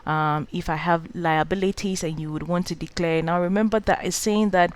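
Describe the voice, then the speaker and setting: Smooth low-voiced woman from Ghana. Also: steady tone, moderate pace. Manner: hesitant, many "um" and "uh" fillers, advising directly. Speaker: scholarship guide host. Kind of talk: screen-recorded walkthrough tutorial.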